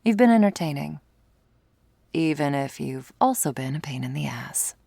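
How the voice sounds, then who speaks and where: A woman playing a sardonic, predatory vampire with a secret wistful side, in an intimate close-miked ASMR roleplay, wry and teasing, sometimes slipping into a breathy whisper. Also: low voice, a little mellow, dry recording, heavily acted. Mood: wry, hungry. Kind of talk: intimate ASMR roleplay, scripted monologue to listener. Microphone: close, dry studio mic.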